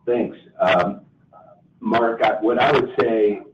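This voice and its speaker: Low-voiced executive man on a distant phone line. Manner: measured, halting, corporate and careful. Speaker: company executive answering investor questions. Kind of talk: earnings call answers. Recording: roomy, distant phone-like line with faint noise.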